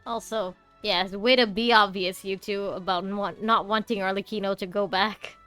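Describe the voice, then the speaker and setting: A woman's voice: mid-range voice, steady tone, moderate pace, a bit bright, clean tone. A woman giving casual, wry, reactive commentary as she plays a video game live. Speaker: game streamer. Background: faint game music.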